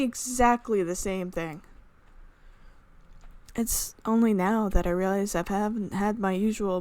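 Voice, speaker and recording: A woman's voice: mid-range voice, no echo. A woman reading a visual novel aloud as a let's player, narrating dramatically and slipping in casual asides. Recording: music playing behind the voice.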